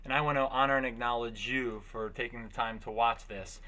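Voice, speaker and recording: A man presenting and reading an inspirational story aloud in an earnest, deliberate way with dramatic pauses. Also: medium pitch, fairly even intonation, bright, forceful tone.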